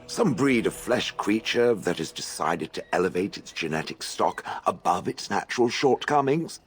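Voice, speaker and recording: An actor voicing a haughty, sardonic ancient stone golem in a video game, with stilted, condescending, deliberate phrasing. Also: male voice, low voice, animated, slightly rough, soft background music. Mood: dry condescension. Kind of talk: dramatic video game acting.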